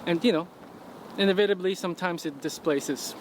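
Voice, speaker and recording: A man from the Philippines with a slightly high voice chats loosely in an interview, filling his sentences with "you know" and "like". He is recorded outdoors on a city street, and clear traffic noise runs behind him. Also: brisk, poor audio. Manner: relaxed, many fillers like "you know". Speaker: interviewee, musician. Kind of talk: casual interview.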